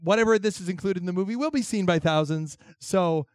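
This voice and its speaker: A young man whose voice is worn hoarse, bantering and rambling in front of a live audience. His pitch sits a little high, with a resonant tone under the hoarseness. The sound is close and dry. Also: brisk, no echo. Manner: rambling and playful, many fillers and restarts. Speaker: co-host of a live panel show.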